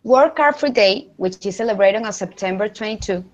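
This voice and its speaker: A woman's voice: medium pitch, normal speed. Young woman, hesitant English. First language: Spanish. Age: young adult. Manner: hesitant, self-correcting non-native English. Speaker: student participant. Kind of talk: online English practice chat. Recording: dull lo-fi voice call.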